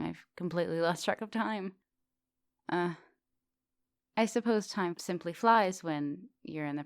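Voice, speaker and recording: A woman playing an immortal vampire professor in an ASMR roleplay, teasing, flirtatious and gently insistent. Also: sits low, vocal fry, dry recording, studio quality. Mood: fond, reluctant. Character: teasing, thousand-year-old female vampire professor, soft dominant, charming. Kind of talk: ASMR roleplay, intimate one-sided conversation.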